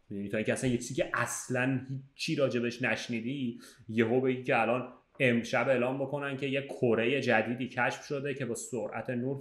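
A young man hosting a podcast in casual chat, arguing his points informally. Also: mid-range voice. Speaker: podcast host. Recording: roomy, echoing sound.

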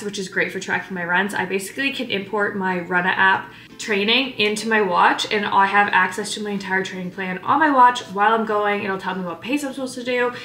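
A woman's voice: medium pitch, pushed and bright. Young female fitness vlogger, chatty and upbeat, filmed from a few feet off in a roomy apartment. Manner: chatty, upbeat, lots of "like" fillers. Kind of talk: casual vlog chat to camera. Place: home kitchen and living room. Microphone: camera on counter, speaking from a few feet away. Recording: roomy apartment sound with music underneath.

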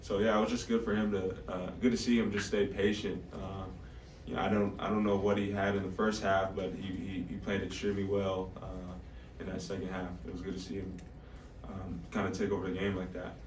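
Low, flat-voiced young man, halting. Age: 20s. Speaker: college basketball player. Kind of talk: post-game press conference answers. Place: indoor press room. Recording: echoey room, distant mic, faint noise.

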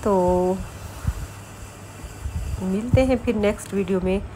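Hoarse woman, middle-aged, chatty vlog voice-over, pauses and fillers, faint hiss. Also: mid-range voice. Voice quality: coughs between phrases. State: sore, hoarse throat. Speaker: vlogger.

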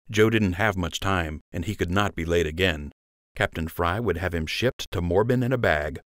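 A man's voice: sits low, a bit bright, dry recording, studio quality. A man reading scripted story narration as an audiobook narrator.

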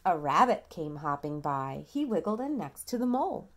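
A woman in her thirties reading a picture book aloud to a toddler at home, dramatically, pausing to ask simple questions. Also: low voice, sing-song. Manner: dramatic, childlike questioning and prompting. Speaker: parent reading a bedtime story to a toddler. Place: small quiet room at home.

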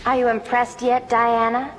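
A woman's voice: fairly high pitch, level tone, moderate pace, heavy background noise, natural voice. An actress playing a sly, cocky female cat-burglar vigilante in a video game, with a teasing, purring delivery. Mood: defiant.

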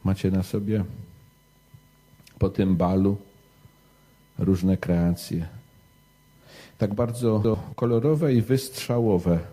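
A man preaches and tells stories to a young gathering in a very dark, mellow voice. He takes his time, speaking slowly and deliberately. The sound is husky rather than clean. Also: lowish voice, rough recording. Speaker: preacher telling a bedtime parable to young people. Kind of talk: storytelling and short homily to a youth gathering.

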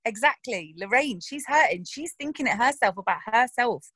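A woman's voice: on the high side, animated, normal speed, fairly bright tone, slightly rough, no room sound. A woman, an actress talking frankly and animatedly in a casual interview, swearing freely and laughing often. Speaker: actress discussing her roles.

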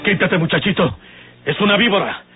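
Protective, commanding man, high-pitched, vintage radio play, thin narrow sound. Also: animated, fairly quick. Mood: urgent. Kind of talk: old Mexican radio drama acting. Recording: tinny vintage radio recording, loud music.

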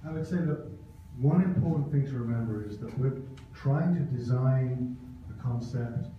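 A man's voice: medium pitch, normal speed, a little hiss or hum. A middle-aged man who designed the plan, earnestly presenting it at a town hall. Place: large meeting room, indoors. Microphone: handheld mic. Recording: dull echoey hall, taped from far off.

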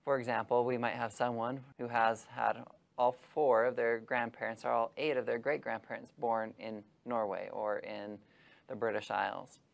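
A man in his thirties, a science team presenter, giving a measured scripted explainer from a studio set. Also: mid-range voice, flat delivery, vocal fry.

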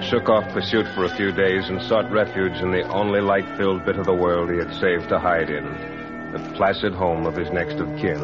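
A man acting as a deep-voiced, ominous storyteller narrates a 1940s radio thriller with grand, solemn delivery. Loud music plays under the muffled old recording. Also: lightly acted. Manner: grandiose, literary.

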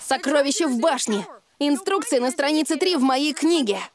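A woman's voice: sits high, expressive, normal speed. A woman voicing an eager young boy in a cartoon dub. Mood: earnest. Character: eager young boy piano player and treasure hunter. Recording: music playing behind the voice.

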